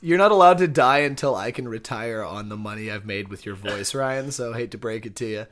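A man's voice: medium pitch, a little fast, a bit bright, a little hiss or hum. A man co-hosting a casual comedy podcast, riffing in mock-earnest banter with some swearing. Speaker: podcast co-host.

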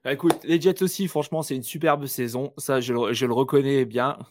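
A man co-hosting a casual sports podcast, animated and teasing, firing off quick questions. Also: mid-range voice, noisy background. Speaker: podcast co-host.

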